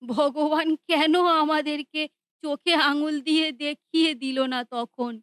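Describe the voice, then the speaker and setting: A woman's voice: steady tone, unhurried, fairly bright tone, no echo, clean studio sound. A woman voicing a harsh, scolding mother and daughter-in-law in a cartoon. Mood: remorseful. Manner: shrill, scolding.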